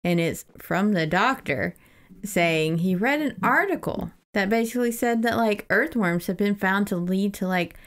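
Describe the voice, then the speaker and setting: A woman's voice: medium pitch, expressive, moderate pace. Irreverent American woman chatting casually. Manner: irreverent, freely swearing. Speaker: podcast co-host. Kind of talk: casual chat and book recap.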